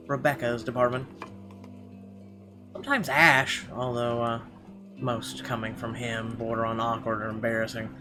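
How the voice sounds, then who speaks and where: A man playing a visual novel, reading its text aloud expressively with casual commentary. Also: medium pitch. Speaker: let's player reading game text aloud. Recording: guitar game music behind the voice. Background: guitar game music.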